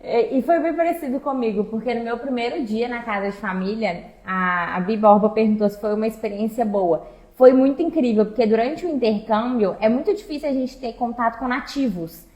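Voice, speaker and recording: Higher-pitched woman, echoey room. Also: brisk, darkish tone. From interior of Minas Gerais, Brazil. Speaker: exchange agency staff member co-hosting a talk. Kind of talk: casual conversation, personal anecdotes.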